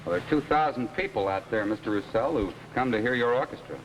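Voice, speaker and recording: An actor playing a composed, clever detective in 1950s television drama, matter-of-fact and assured. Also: male voice, mid-range voice, expressive, quick pace, slight background noise, close to an everyday voice, rough recording. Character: composed, clever male detective trapping a killer. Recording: old TV soundtrack, music behind.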